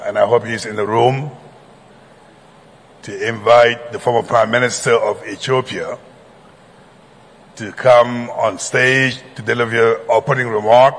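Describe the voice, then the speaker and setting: A man's voice: mid-range voice, a bit bright. A man from Senegal hosts a conference session from the stage, formal and polite. He keeps things moving when plans change. His mid-range voice rings out with a long reverb from the big hall. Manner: formal, courteous, improvising through delays. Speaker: moderator.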